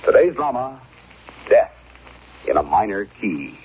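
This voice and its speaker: A man's voice: lowish voice, sing-song, measured pace, over music. An American man reading a script as a 1940s radio announcer, emphatic, formal and dramatic. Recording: dull, lo-fi old radio recording.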